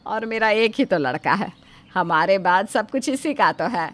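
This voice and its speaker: A woman's voice: mid-range voice, lively intonation, normal speed, a bit bright, slight background noise, lightly acted. A woman playing a wary, placating middle-aged mother of a groom in a dramatized moral story, with a coaxing manner. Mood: reassuring.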